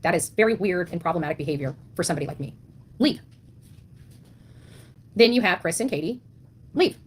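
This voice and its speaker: A woman's voice: fairly low pitch, animated, a little fast, slightly rough. A woman delivering an impassioned monologue as an online commentator, blunt and indignant, leaning on rhetorical questions and emphatic repetition. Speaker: online commentator on a missing-child case.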